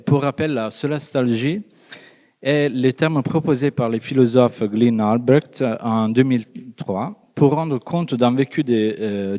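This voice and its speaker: An Italian-accented man delivers a read-out lecture in a reverberant conference hall. His voice is dark and mellow, and he speaks carefully, pausing and correcting his own words. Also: medium pitch. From Italy. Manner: careful, with frequent hesitations and self-corrections. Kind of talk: reading a prepared academic talk.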